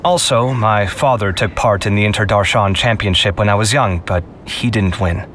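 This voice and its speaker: A man voicing a confident but easily flustered young scholar in a video game, with a theatrical, expressive delivery. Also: on the low side, normal speed, slight background noise, close to an everyday voice. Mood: earnest, subdued. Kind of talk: scripted video game character acting.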